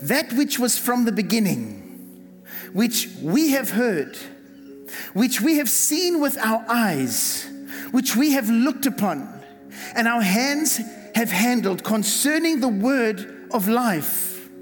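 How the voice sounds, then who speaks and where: A South African pastor preaching a fervent sermon, repeating words and calling on the congregation to respond. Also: male voice, very high-pitched, big pitch swings, slow pace. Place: church hall. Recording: reverberant hall with music behind. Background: music.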